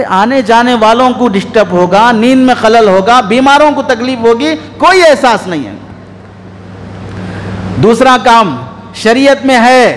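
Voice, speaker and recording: High-pitched male preacher in an echoey hall. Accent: Indian. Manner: scolding, exhorting with rhetorical questions. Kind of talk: sermon. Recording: echoey hall with long reverb.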